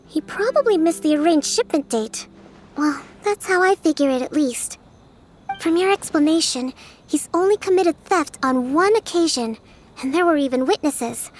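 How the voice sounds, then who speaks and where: Very high-voiced, composed young priestess. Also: female voice, slight background noise. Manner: articulate, reasoning step by step. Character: young shrine priestess strategist, bookish, composed, quietly confident. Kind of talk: video game voice acting.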